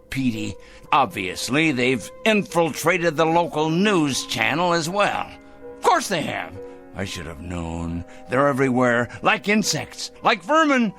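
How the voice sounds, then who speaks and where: Smug paranoid crank, bright voice, slow and swooping, faint music under it. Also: male voice, mid-range voice. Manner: theatrical, gloating, talks to his pet bird. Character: paranoid middle-aged man, smug, hateful zealot. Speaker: fanatical letter-writing crank. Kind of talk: 1960s TV drama acting.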